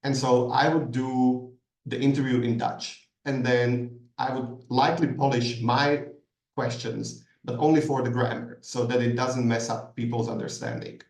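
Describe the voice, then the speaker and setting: A man's voice: mid-range voice, flat delivery, measured pace, a little mellow. Man answering from an echoey distance. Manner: thoughtful, hesitant, many ums and restarts. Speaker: guest expert answering questions. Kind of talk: masterclass Q&A answer. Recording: echoey room, distant mic.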